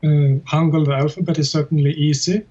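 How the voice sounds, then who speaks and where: A man speaks as an academic guest, answering questions in a measured, considered way with frequent hesitations. His pitch stays flat and even. The audio is slightly dull. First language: non-Korean. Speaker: guest, Korean language academic. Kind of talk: broadcast interview.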